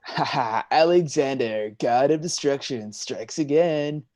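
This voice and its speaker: A man's voice: mid-range voice, unhurried, a little mellow, natural voice. A man playing a laid-back, teasing young sea god with surfer slang in a staged play reading.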